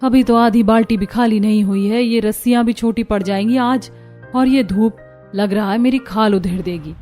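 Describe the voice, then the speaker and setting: Woman as an exasperated high-voiced young daughter-in-law. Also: fairly even intonation, normal speed, warm tone, faint music behind, lightly acted. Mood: weary complaint. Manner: complaining, indignant. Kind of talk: Hindi cartoon story dialogue.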